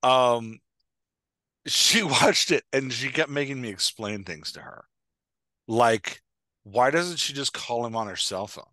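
A man in his forties with a very lively, swooping delivery and a bright, forceful voice. He banters casually as a podcast host, joking at his own expense, close and dry on a headset mic. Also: mid-range voice, unhurried, no echo. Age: 40s. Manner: jokey, self-deprecating. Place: indoor room, on a video call.

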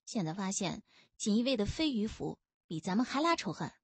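A woman narrates a story in a markedly husky voice. Her pitch moves in a lively way from phrase to phrase, and the recording is close and dry. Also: a little fast, no room sound. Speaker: audiobook narrator. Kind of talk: expressive scripted novel narration.

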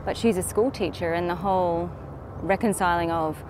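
A middle-aged woman interviewing outdoors in a warm, affirming way, summing up her guest's points. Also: lowish voice, moderate pace, noisy background. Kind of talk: conversational interview. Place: outdoor terrace.

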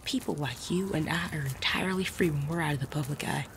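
A woman playing an articulate, sardonic gentleman bandit in a western audio drama. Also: deep voice, quick pace, a little hiss or hum. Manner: articulate, persuasive. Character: suave, sardonic gentleman bandit of the Wild West.

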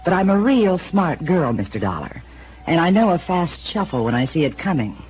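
An actress playing a sarcastic, defensive young widow in a 1950s radio drama, firing back quick retorts. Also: female voice, on the low side, big pitch swings. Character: sharp-tongued young widow, defensive and tough. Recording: tinny old radio broadcast with hiss.

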